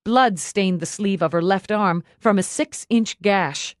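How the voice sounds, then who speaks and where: A woman's voice: mid-range voice, measured pace, dry recording, clean studio sound. A woman reads scripted fiction expressively as an audiobook narrator.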